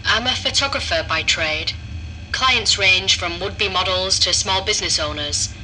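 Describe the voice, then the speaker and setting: An actress playing a composed female patient who speaks in a placid, measured way. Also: mid-range voice, sharp tone, a little hiss or hum, rough recording. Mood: calm. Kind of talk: horror audio drama acting.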